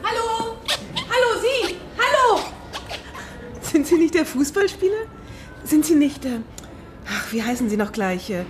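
A woman's voice: sits high, very animated, measured pace, long pauses, music in the background. An actress playing a forward, persistent woman who speaks directly and insistently in a radio drama. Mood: eager. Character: forward, persistent daughter of a businessman.

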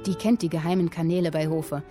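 A woman performing literary narration in a radio drama, telling a historical tale in a deliberate, dramatic way with clipped phrases. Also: lowish voice, steady tone, lightly acted. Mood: measured, sly. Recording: music under the voice. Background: music with violin.

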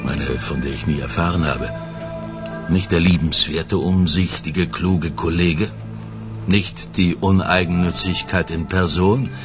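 Radio crime drama: composed, self-assured senior detective, very low register, deliberate pauses. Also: male voice, steady tone. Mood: brooding, insinuating. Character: self-assured male police lieutenant colonel from economic crimes. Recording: music playing behind the voice.

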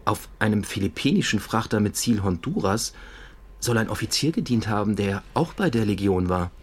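A man's voice: lowish voice, lively intonation, normal speed, slightly rough. An actor playing a dry, matter-of-fact male police investigator in a radio drama.

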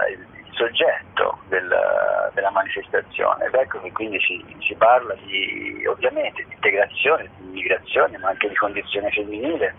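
A man, giving an unscripted interview as an artistic director, hesitant and often repeating himself as he searches for words. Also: on the low side. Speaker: theatre festival artistic director being interviewed. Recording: tinny phone line with clear noise.

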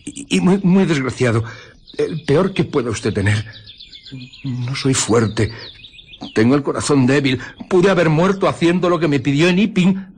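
An actor playing a timid, miserable reluctant accomplice with a stammering, pleading and hesitant manner in a radio drama. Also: male voice, fairly high pitch, animated, a little slow, darkish tone, husky. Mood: miserable, whining.

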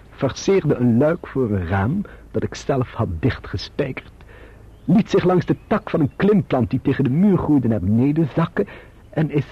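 A muffled radio drama recording of a servile Dutch manservant. His voice is very husky and swings widely in pitch. He reports in formal, deferential, self-serving phrases with careful hesitations. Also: full character voice. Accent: Netherlands Dutch.

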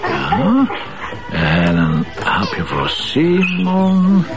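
A man's voice: fairly high pitch, wildly swooping pitch, extremely slow, full character voice. A man playing a brusque, wry police commissioner and doting father in a Flemish TV drama, colloquial and impatient. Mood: playful, busy. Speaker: actor in a TV police series. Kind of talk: naturalistic TV drama dialogue.

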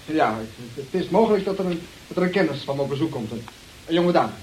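A man plays a flustered lodger with a fairly high male voice that swings widely in pitch. He sounds exasperated and evasive, pleading and hesitating. The old radio drama carries a light hiss. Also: expressive, fast talker, a little mellow, close to an everyday voice, low-quality recording. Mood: nervous. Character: exasperated, evasive lodger, nervous about his lady friend. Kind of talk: radio play acting. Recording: hissy old radio play recording.

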